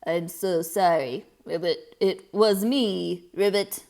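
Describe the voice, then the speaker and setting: A woman voicing a sheepish, apologetic male toad from a storybook, with croaking interjections. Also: on the low side, slow, darkish tone, voice pushed down.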